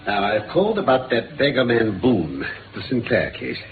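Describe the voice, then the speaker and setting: An actor playing a shrewd, self-assured English detective in a 1950s radio drama, speaking precisely and theatrically with a British accent. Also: male voice, mid-range voice, huge pitch swings, normal speed. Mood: businesslike. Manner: precise, theatrical, deductive pronouncing. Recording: noisy, narrow-band old radio recording.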